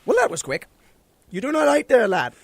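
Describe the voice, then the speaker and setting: A man's voice: extremely high pitch, sing-song, fairly quick, vocal fry, fairly bright tone, husky, heavily acted. A man playing a chatty, folksy, cautious hero with a lilting Irish accent in an audio drama. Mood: wry. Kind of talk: fan audio drama acting.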